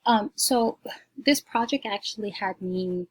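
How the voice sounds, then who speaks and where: A woman, giving a class presentation at home with many ums and pauses; she is shy on camera. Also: medium pitch, slightly rough, poor audio. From New York, United States. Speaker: student presenter.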